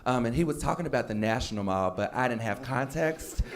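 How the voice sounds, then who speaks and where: A man's voice: mid-range voice, lively intonation, a little fast, background noise. A man on a panel telling a story in a chatty way, with many fillers. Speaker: alumni panelist. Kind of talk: panel talk at a keynote.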